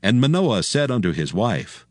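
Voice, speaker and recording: A man narrating dramatized scripture as a solemn, measured storyteller. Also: fairly low pitch, very animated, no echo, studio quality.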